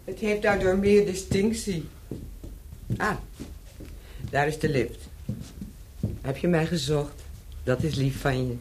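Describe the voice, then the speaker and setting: A woman's voice: low-pitched, slow pace, pauses often, darkish tone, a little hiss or hum. An actress in a radio play as a worldly, flirtatious Parisian woman of the night, teasing and nonchalant, with a wry edge. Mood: bright, teasing.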